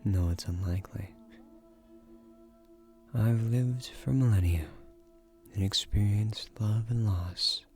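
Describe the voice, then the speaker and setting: A man playing an ancient magical guardian of a tree in a fantasy audio roleplay, soft-spoken, wry and gently formal, with measured delivery. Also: on the low side, faint music behind. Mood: gentle, consoling. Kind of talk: slow soothing fantasy audio roleplay, scripted.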